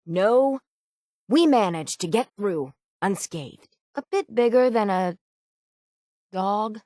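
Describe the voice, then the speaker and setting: An actress playing a weathered, stubborn female sailor in a video game, with a gruff, plainspoken old-salt way of talking. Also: medium pitch, lively intonation, slow, a little mellow, no room sound, clean studio sound. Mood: sheepish. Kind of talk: video game character voice acting.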